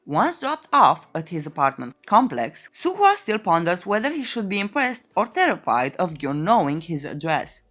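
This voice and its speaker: A woman's voice: on the low side, big pitch swings, normal speed, few pauses, fairly bright tone. A woman reading a scripted story recap as a narrator, in a playful, tongue-in-cheek way. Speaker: narrator of a comic recap channel.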